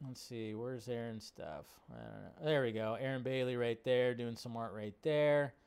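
A man's voice: medium pitch, moderate pace, vocal fry, darkish tone, clean tone. A man hosting a livestream, giving casual, enthusiastic and exclamatory reaction commentary in an informal way. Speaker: livestream host.

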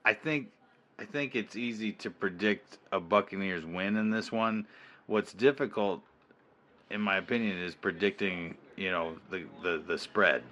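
A man co-hosting a casual sports podcast, talking in a measured way with hesitant restarts. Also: on the low side, sharp tone, muffled recording. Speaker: podcast co-host.

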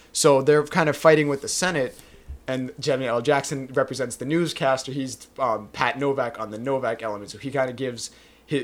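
A man co-hosting a film podcast, summarizing a plot in a rambling, hedging way. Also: medium pitch. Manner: rambling, hedges with "kind of". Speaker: podcast co-host.

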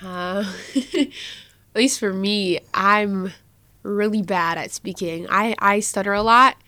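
A woman's voice: medium pitch, takes it slowly, pushed and bright, no room sound. A young woman in her late teens chatting casually as a student guest, rambling and self-deprecating, saying like often. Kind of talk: casual chat.

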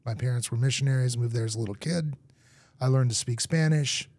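Animated storytelling man, mellow voice. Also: medium pitch, a little fast, no echo. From grew up in Argentina. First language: English. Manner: lively storyteller acting out anecdotes. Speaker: guest, marketing executive. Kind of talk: podcast conversation.

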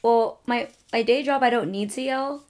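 A young woman co-hosting a podcast, hoarse from losing her voice, talking loudly and excitedly with frequent laughs and casual swearing. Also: a little high, moderate pace. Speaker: podcast co-host. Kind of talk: casual chat.

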